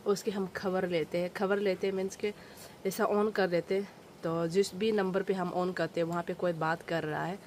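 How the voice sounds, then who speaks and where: A woman's voice: fairly low pitch, darkish tone, slight background noise. A young woman with a Northeast Indian accent chatting casually to viewers, explaining village life in a simple way. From Arunachal Pradesh, India. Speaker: village vlogger. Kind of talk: casual chatty vlog narration.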